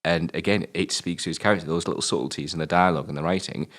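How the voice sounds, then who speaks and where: Young british guy, really low voice, talks fast, gets all excited and swears a lot chatting about films. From Britain. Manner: excitable, swears, exclaims in disbelief. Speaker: co-host of a film review show. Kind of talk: casual film chat.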